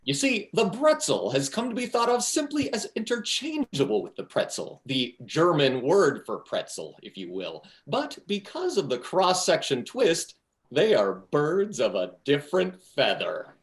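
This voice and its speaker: A man's voice: on the high side, wildly swooping pitch, measured pace, full character voice. A man playing a crass, vain pretzel guy in a comedic play reading, brash and bossy. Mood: self-important presenting.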